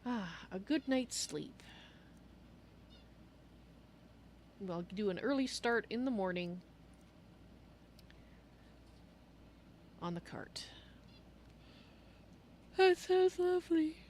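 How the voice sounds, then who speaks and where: A woman voicing a gentle, fussy female cat-folk traveler in a game roleplay, musing aloud about herself in the third person. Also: fairly high pitch, a little slow, pauses often. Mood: fond, tired. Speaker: livestream roleplayer. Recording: steady background noise.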